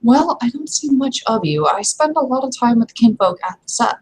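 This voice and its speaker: A woman playing a blunt but hesitant female werewolf in a tabletop game, talking in a halting, matter-of-fact way. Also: on the high side, lively intonation, a little mellow, slightly rough, voice pushed up.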